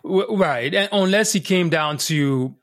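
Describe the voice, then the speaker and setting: A man's voice: high-pitched, a bit bright, no room sound, studio quality. Man from Cameroon telling his story. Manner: unhurried, thoughtful, frequent restarts. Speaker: podcast guest, life coach. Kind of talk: casual interview storytelling.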